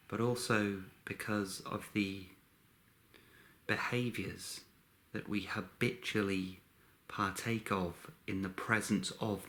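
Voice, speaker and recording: A man with a fairly low voice guides a meditation. He speaks very slowly in short, measured phrases. Long pauses separate his calm instructions.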